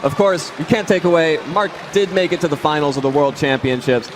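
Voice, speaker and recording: A man giving live play-by-play commentary at a competitive gaming tournament, calling the action with rising excitement. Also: on the high side, brisk, noisy background. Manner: excited calls, building to big announcements. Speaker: esports commentator. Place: event arena. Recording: loud crowd and music under voice. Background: cheering crowd and music.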